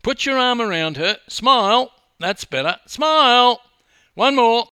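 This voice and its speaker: A man voicing a bossy press photographer calling out short, brisk orders in a narrated story. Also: extremely high pitch, expressive, pushed and bright, clean tone, dry recording, pitched above the performer's own voice, full character voice. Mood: bossy, urgent. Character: bossy, loud press photographer directing a pose.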